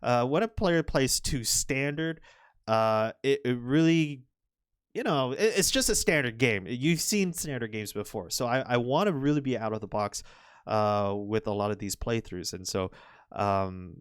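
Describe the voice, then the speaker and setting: A young man commenting on a Go match, chatty and rambling, his voice a little hoarse from overuse. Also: mid-range voice, measured pace, no room sound, studio quality. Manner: chatty, rambling, frequent restarts and fillers. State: slightly hoarse, recovering voice. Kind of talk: casual game commentary.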